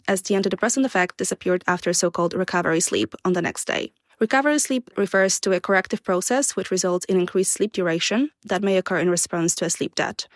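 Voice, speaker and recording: A young woman from Poland, a PhD student, reading a prepared answer on sleep science in a measured, formal way. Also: fairly low pitch, no echo. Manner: measured, formal explanatory delivery.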